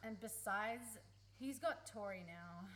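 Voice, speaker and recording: A woman's voice: mid-range voice, slow pace, a bit bright. A woman acting in a radio play as a blunt, emotional young woman, hurt and in love with her best friend. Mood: hurt, sardonic. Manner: emotional, pleading, sweary, blunt. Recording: faint background noise, live recording.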